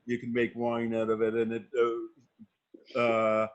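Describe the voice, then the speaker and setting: A man speaks informally on a video call in a flat tone. His delivery is halting and wry, and the audio is a little dull. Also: mid-range voice. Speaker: panel participant. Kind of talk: informal answer in a discussion.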